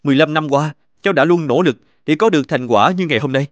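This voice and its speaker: Man as an earnest, higher-pitched young businessman. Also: fast, no room sound, dull audio, close to an everyday voice. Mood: grateful. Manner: polite, sincere.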